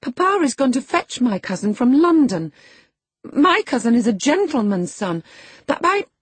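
A woman's voice: fairly high pitch, wildly swooping pitch, measured pace, slightly rough, no echo, pitched above the performer's own voice, heavily acted. A woman voicing a spoiled, haughty young girl with a demanding, indignant manner in an audiobook. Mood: tearful distress. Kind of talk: dramatised audiobook character.

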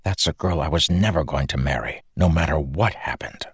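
A man voicing a guarded, weary young soldier who speaks low, earnest and clipped. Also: moderate pace, slightly rough, no room sound, clean studio sound. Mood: tense.

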